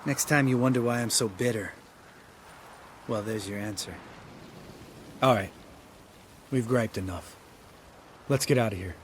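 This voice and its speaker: An actor playing a cynical, bitter monster hunter in a fantasy game, speaking in a blunt, sardonic way. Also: male voice, lowish voice, measured pace, long pauses, slight background noise, close to an everyday voice. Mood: resigned, wry.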